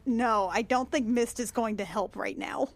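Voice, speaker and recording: A woman's voice: on the high side, moderate pace, gravelly. A woman playing a tabletop role-playing game, chatting casually with an irreverent, sweary manner.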